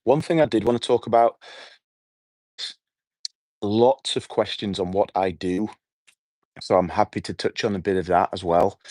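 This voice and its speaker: A middle-aged man with a hoarse voice, hosting a relaxed, rambling evening livestream outdoors and chatting with his viewers. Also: lowish voice, normal speed, pauses often, dry recording. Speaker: livestream host. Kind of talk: casual evening livestream chat. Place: outdoors at night.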